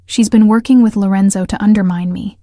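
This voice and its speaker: A woman's voice: mid-range voice, steady tone, brisk, mellow, dry recording, clean studio sound, lightly acted. A woman voicing a scheming male mafia underboss who speaks steadily and dismissively. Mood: defensive.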